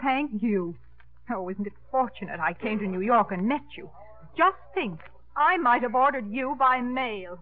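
A woman's voice: fairly high pitch, lively intonation, a little slow. An actress playing a proper, flustered, easily embarrassed mother in 1950s radio comedy style. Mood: flustered, polite. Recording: muffled, tinny 1950s radio broadcast.

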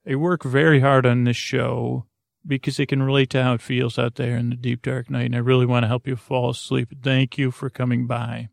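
A man hosting a sleep podcast, talking slowly and meandering with lots of filler words, mumbles and a creaky, soothing tone. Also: mid-range voice, flat delivery, no echo, studio quality. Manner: slow, meandering, soothing and self-deprecating. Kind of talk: rambling bedtime podcast talk.

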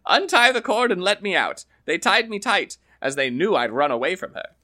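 A man's voice: high voice, big pitch swings, moderate pace, bright, forceful tone, voice pushed up, heavily acted. A man voicing a sly young farmer trickster, wheedling and boastful, in a raised voice.

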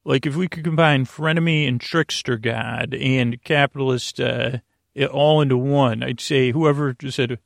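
Guy with a gravelly creaky voice, dry close sound, wandering bedtime podcast talk, keeps trailing off. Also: mid-range voice, fairly even intonation, no room sound. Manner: meandering, halting, repetitive, trailing off. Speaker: sleep podcast host. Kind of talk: rambling bedtime monologue.

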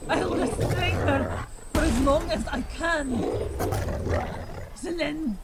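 A woman's voice: high voice, slow pace, very noisy. A woman acting in an audio drama as a protective female vampire, speaking urgently and dramatically. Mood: urgent, commanding. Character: commanding female vampire, fierce and protective friend.

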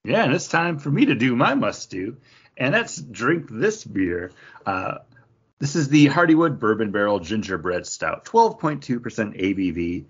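A man's voice: medium pitch, big pitch swings, moderate pace, husky. A man chatting casually as a podcast host, giving his take on a drink. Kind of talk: casual chat, beer review. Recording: slightly dull, lo-fi recording.

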